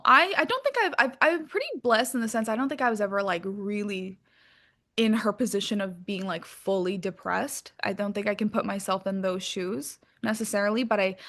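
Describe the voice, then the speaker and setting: A woman speaking as an interview guest in a candid, casual chat, animated and informal, peppering her talk with filler phrases. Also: mid-range voice.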